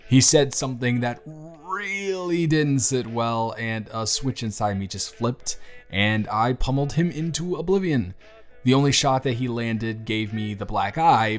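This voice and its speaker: Man acting self-deprecating teenage boy, music behind. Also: fairly low pitch. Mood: hesitant, evasive. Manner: conversational, hesitant, gently wry. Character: bruised, self-deprecating teenage boy confiding in his best friend. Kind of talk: scripted intimate audio roleplay to the listener. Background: soft background music.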